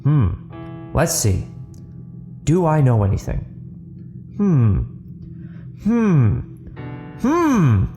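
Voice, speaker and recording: Audio book villain played by a male narrator: caged, unhinged killer, bitter and mocking, higher-pitched, erratic delivery. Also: wildly swooping pitch, warm tone, faint music behind, heavily acted. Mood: taunting. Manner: erratic, mocking, swearing, drawn-out emphasis. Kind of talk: dramatic audio book character acting.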